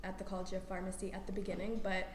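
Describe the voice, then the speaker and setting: A woman's voice: mid-range voice, little pitch movement, very fast. A woman in her twenties from Halifax, a guest chatting in an upbeat, easy way. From Halifax, Canada. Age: 20s. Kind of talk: casual chat. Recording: echoey room with background noise.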